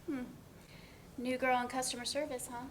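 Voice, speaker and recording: A woman's voice: high-pitched, flat delivery, moderate pace, slight background noise, lightly acted. A woman playing an earnest young professional in a dramatic reading of a stage play, speaking conversationally with small hesitations. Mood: teasing. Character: Kat, earnest, apologetic young professional woman, teasing friend.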